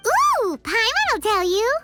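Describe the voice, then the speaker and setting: A woman playing a tiny, excitable childlike fairy companion in an anime game, chatty and bubbly, with a squeaky, piercing voice. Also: unhurried, loud music behind the voice, heavily acted. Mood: eager. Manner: speaks of self in third person, bubbly.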